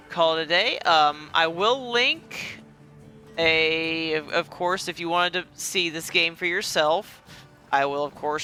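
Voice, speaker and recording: A man's voice: fairly high pitch, bright, forceful tone, a little hiss or hum. A man hosting a gaming video with casual, rambling, self-deprecating gameplay commentary. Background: faint game music.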